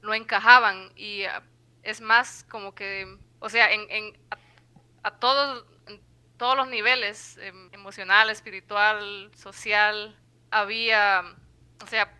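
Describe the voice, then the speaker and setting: A woman in her mid-twenties from Guatemala, speaking as a guest on a podcast. Her voice is very bright and crisply clear, and she talks hesitantly, piling up fillers and restarts as she thinks aloud. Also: medium pitch, steady tone, slow pace, low-quality recording. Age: 20s. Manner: hesitant, many fillers, laughs lightly. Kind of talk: conversational interview.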